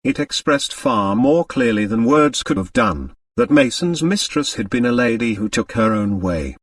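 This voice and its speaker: A man's voice: mid-range voice, normal speed, dry recording. Male narrator for scripted mystery fiction: dramatic, very varied intonation, dry recording at some distance from the mic. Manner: expressive, dramatic storytelling. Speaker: audiobook narrator.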